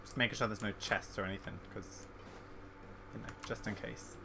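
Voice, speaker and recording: A man's voice: fairly low pitch, steady tone, moderate pace, creaky, very noisy. A man giving casual, off-the-cuff commentary while he plays a game. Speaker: gamer commentator.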